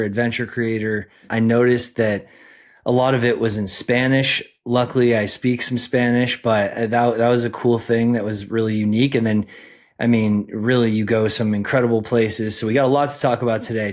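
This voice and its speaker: A man's voice: mid-range voice, fairly even intonation, normal speed. A man hosting a podcast, reading an upbeat intro and then chatting with his guest. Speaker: podcast host. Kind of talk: scripted intro then casual interview.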